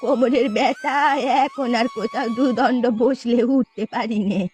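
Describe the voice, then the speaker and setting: A woman's voice: a little high, steady tone, normal speed, darkish tone, lightly acted. A woman voicing a frail, plaintive old beggar aunt in a cartoon. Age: older. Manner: plaintive, complaining. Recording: music under the voice.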